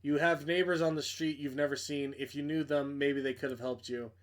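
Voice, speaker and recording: A man's voice: fairly high pitch, level tone, a little fast, lightly acted. A man voicing a menacing stalker in a horror visual novel, with cold, mocking questions. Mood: cold taunting. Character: menacing, taunting stalker messaging a victim in horror game.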